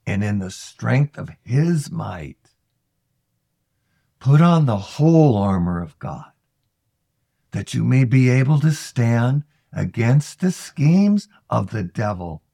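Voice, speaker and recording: A man reciting scripture from a text in a measured, emphatic way, phrase by phrase. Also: medium pitch, sing-song, creaky, warm tone, breathy edge, no echo, studio quality. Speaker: scripture reader. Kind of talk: scripted Bible reading, recited aloud.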